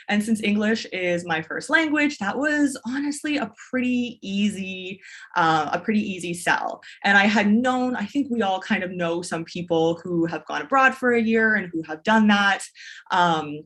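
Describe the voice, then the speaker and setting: A woman, a business mentor, telling her story as a podcast guest in an enthusiastic, long-winded way with restarts. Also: mid-range voice. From Canada. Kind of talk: casual interview storytelling.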